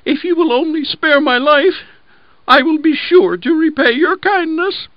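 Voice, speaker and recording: A tiny male mouse from a storybook fable, squeaking high and pleading earnestly, as a man puts him on. The voice stays dry and a little dull. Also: animated, measured pace, dry recording.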